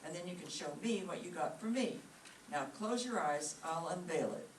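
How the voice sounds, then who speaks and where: A woman's voice: lowish voice, lively intonation, moderate pace, close to an everyday voice. A patient, reproachful wife played by a woman in an old-time radio comedy. She scolds dryly but fondly, heard at a distance in a roomy space with faint noise behind her. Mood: placating. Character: long-suffering, scolding yet affectionate wife.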